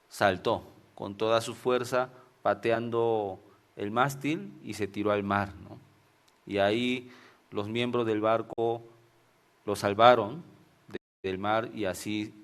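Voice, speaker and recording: A man's voice: fairly low pitch, fairly even intonation, slow pace. A man from Venezuela with a Venezuelan Spanish accent, preaching an informal sermon and telling stories, often ending phrases with tag questions. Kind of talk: informal sermon with personal anecdotes.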